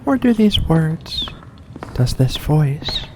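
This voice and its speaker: Someone doing a creepy courteous vampire for asmr, teasing, really slow talking, medium pitch, bit of noise behind. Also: big pitch swings, a little mellow. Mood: eerie, hypnotic. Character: seductive mysterious vampire host luring in a guest. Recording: faint background noise.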